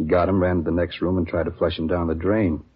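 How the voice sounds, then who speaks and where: Low, husky beat cop. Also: male voice, flat delivery, quick pace. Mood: guarded, matter-of-fact. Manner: casual, matter-of-fact police slang. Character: plain-spoken, guarded male beat patrol officer. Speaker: actor in a radio police drama. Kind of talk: 1950s radio acting, conversational dialogue. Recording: dull, lo-fi old radio recording.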